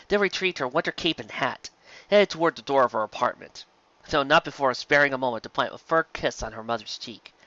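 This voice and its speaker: A woman's voice: rumbling low, fairly bright tone, no echo. A woman narrating a story as a dramatic reading, on a dull, slightly muffled recording.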